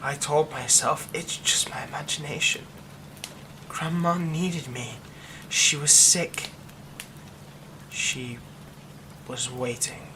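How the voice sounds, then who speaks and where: A man voicing a haunted young man hiding a werewolf curse, speaking in a hushed, halting way that often drops to a whisper. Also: on the high side, noisy background. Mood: nervous, haunted. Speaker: ASMR roleplay performer. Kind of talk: ASMR horror roleplay.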